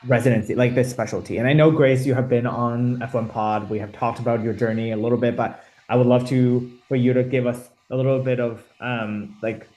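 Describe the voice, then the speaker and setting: A man with a mellow, warm, mid-pitched voice moderates an online panel. He rambles through his questions with frequent fillers and restarts over a video call. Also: fairly even intonation, brisk, few pauses, poor audio. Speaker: host and moderator.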